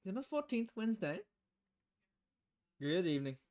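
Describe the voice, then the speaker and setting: A woman's voice: medium pitch, very animated, normal speed, darkish tone. A woman streaming a visual novel, reading the dialogue aloud with casual, playful commentary and switching voices between lines.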